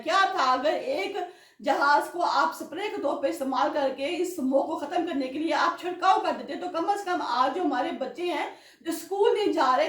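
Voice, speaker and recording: High-voiced woman in an echoey room. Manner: earnest, rising to indignant rhetorical questions. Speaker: commentator. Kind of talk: political commentary monologue. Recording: roomy echo from a distance.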